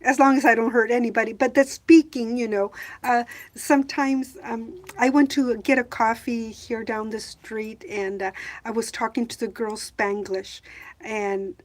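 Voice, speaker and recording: High-pitched woman from mexico chatting on her vlog, lots of uhs, flips between english and spanish. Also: steady tone, moderate pace. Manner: hesitant, many fillers, switches between English and Spanish. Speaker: vlogger. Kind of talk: casual bilingual vlog talk.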